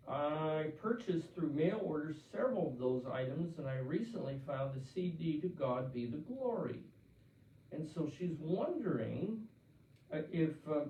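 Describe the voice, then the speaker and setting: A man's voice: fairly high pitch, warm tone. An older man, a pastor from Pennsylvania, answering listener letters in a conversational Q&A talk with frequent restarts and fillers. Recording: roomy, distant, dull-sounding.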